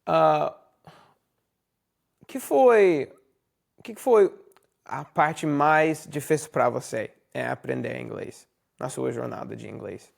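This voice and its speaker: Slow, gravelly, hesitant host. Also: mid-range voice. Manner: slow and hesitant, many fillers, speaks Portuguese as a learner. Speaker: interviewer, host. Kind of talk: casual interview chat.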